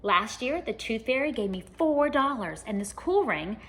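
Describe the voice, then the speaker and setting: A woman's voice: fairly high pitch, big pitch swings, normal speed, a bit bright. A woman voicing a sweet six-year-old boy who lists things simply and earnestly, in a storybook reading. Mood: earnest, proud.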